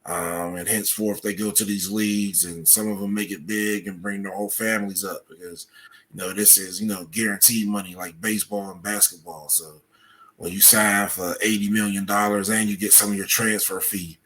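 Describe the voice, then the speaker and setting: Low-voiced man explaining as a guest. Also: steady tone, moderate pace, fairly bright tone, low-quality recording. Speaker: podcast guest, researcher. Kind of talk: explanatory interview answers.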